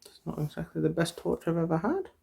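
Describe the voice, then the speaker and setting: A soft, breathy ASMR performer playing an optician, murmuring in a hesitant, reassuring way and often drifting into a whisper. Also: medium pitch, big pitch swings, quick pace.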